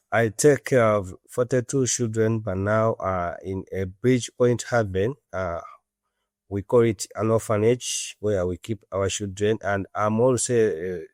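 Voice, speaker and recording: A man in his thirties with a Ugandan accent, answering as an interview guest in a halting, earnest way. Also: fairly low pitch, dry recording, clean studio sound. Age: 30s. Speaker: interview guest, orphanage director.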